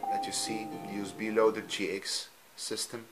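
A man from Belgium, a native Dutch speaker with a Dutch accent, talking off camera in a halting, simple way while he films his TV screen at home. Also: lowish voice, level tone, music in the background. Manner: halting, frequent ums, simple non-native phrasing. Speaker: hobbyist youtuber. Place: room at home, filming a TV screen.

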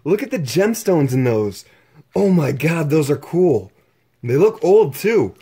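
A young man narrating his own exploration vlog, excitedly exclaiming over what he finds while filming up close with a handheld camera. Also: on the high side, measured pace, husky. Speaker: exploration vlogger.

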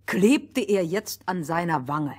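A woman telling a fairy tale as a storyteller, expressive and theatrical, in a playful scripted style. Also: lowish voice, slow pace, slightly rough.

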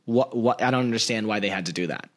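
Animated podcast host with studio mic. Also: male voice, lowish voice, quick pace. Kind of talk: casual interview talk. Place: small home room, video call. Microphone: large studio mic with headphones.

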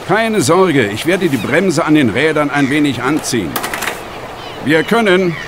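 A man's voice: a little high, expressive, unhurried. An actor playing an assured, cheerful and stubborn older blind man in a wheelchair, in an audio drama. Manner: assured, reassuring, wistful. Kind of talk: German audio drama acting. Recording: noisy outdoor ambience behind.

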